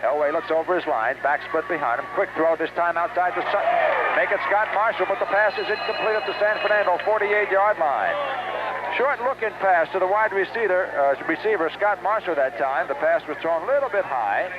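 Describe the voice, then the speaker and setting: American male sportscaster, high voice, live football play-by-play on muffled vintage radio. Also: fairly quick. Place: outdoor high school football game broadcast. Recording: muffled noisy 1970s radio broadcast audio.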